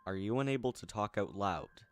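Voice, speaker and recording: A man voicing a calm, professional female police emergency dispatcher who asks clear, reassuring questions. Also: fairly low pitch, brisk. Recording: music behind the voice.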